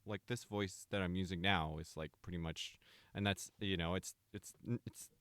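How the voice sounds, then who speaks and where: A man with a deep voice co-hosting a live stream, talking hesitantly with many fillers and restarts. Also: steady tone, normal speed, a bit bright, dry recording. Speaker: stream co-host. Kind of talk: casual chat.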